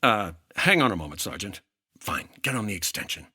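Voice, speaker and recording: An actor playing a dry, unflappable hard-boiled insurance investigator in clipped, deadpan 1950s radio detective style. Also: male voice, lowish voice, brisk, husky, heavily acted. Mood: terse, impatient. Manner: terse, deadpan.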